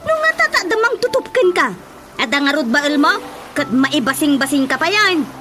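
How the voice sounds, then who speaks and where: A woman playing an angry, exasperated woman berating a drunkard in a radio drama, with shrill scolding delivery. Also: very animated, moderate pace.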